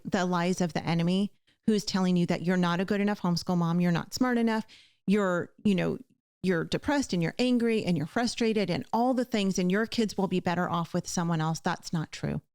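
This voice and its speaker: A woman's voice: on the low side, fairly quick, a little mellow, no room sound, studio quality. A woman hosting a conversational show, speaking in an earnest, emphatic way as she encourages her listeners directly. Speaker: podcast host.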